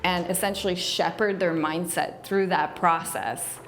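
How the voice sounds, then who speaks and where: A woman's voice: on the low side, fairly even intonation, unhurried, fairly bright tone, soft background music. A woman talking candidly to camera about the programme she runs, rambling and self-deprecating. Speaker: agency coach and show host.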